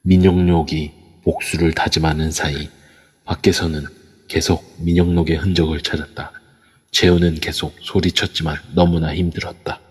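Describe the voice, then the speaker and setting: A man's voice: a bass voice, flat delivery, moderate pace, breathy edge. A man reading scripted narration for an audio drama. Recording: long reverb throughout.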